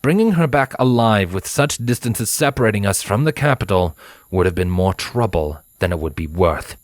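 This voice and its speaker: Man playing a proud killer pony, deep male voice, cold, deliberate, formal diction, dry close sound, storybook villain. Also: expressive, no echo, lightly acted. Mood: stern, contemptuous. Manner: haughty, measured, formal, dismissive. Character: cold proud winged male pony assassin, ruthless hunter.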